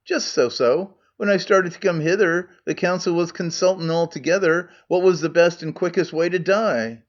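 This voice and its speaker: A crafty, down-at-heel trader with a broad Scottish accent coaxes and blusters, as a man puts him on. The voice sits high and bounces with eager hawking. It is heard through a dull, muffled recording. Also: moderate pace, lightly acted. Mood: wheedling. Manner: broad dialect, coaxing and blustering. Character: crafty poor male trader, older, wheedling.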